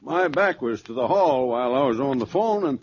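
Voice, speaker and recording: An actor playing a polite, flustered street-corner Santa, stammering and earnest, in a 1940s radio drama. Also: male voice, medium pitch, lively intonation, fairly quick, husky. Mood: flustered, insistent. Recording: dull, noisy old radio recording.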